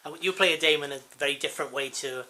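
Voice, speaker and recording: A man's voice: a little high, fast, bright, forceful tone. A man interviewing a guest, asking casual, conversational questions.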